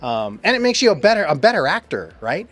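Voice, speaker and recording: A man's voice: fairly high pitch, big pitch swings, a little fast, fairly bright tone, faint music behind. A man hosting a casual interview. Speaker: interviewer, host.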